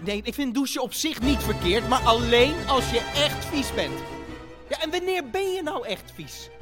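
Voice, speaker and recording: An actress voicing an eager, naive youngest brother who speaks in halting, excitable bursts in a family radio play. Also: female voice, high voice. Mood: excited. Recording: faint music behind.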